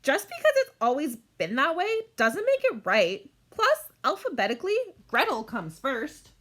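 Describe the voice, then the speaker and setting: Woman as bossy, high-voiced little storybook girl. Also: sing-song, normal speed, fairly bright tone. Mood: argumentative. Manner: assertive, matter-of-fact, argumentative. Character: confident, bossy little girl Gretel, stubbornly optimistic.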